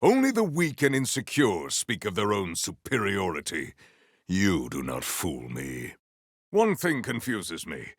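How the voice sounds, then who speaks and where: A man voicing a stoic, honourable warrior in a video game, speaking in formal, stately phrases with a gravelly voice. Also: low voice, wildly swooping pitch, no echo, clean studio sound, heavily acted. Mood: solemn. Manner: formal, stately, measured diction.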